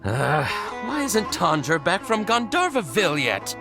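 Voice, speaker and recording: Flustered, animated shopkeeper. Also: female voice, fairly low pitch, measured pace, over music. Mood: hesitant, worried. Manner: hesitant, apologetic. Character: flustered, earnest toy shop owner. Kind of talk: video game voice acting.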